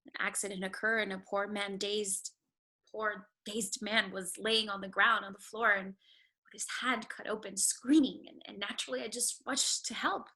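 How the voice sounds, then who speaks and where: Woman acting headstrong sheltered young lady, bright voice. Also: medium pitch, steady tone, moderate pace, husky, low-quality recording. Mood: earnest. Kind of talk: virtual play reading, stage acting.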